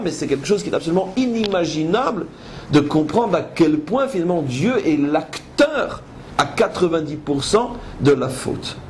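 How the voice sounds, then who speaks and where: Male rabbi lecturer, fairly high voice, emphatic teacher's delivery with rhetorical questions, live class recording with light background noise. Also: a little mellow. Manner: switches between French and quoted Hebrew verses. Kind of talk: religious lecture with commentary on scripture.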